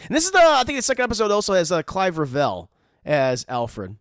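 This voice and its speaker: Man, commentary host, high voice, very lively pitch, gravelly, bright tense tone, dry close mic. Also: a little fast, no echo. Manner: joking, animated, mock-voices characters. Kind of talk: casual cartoon commentary.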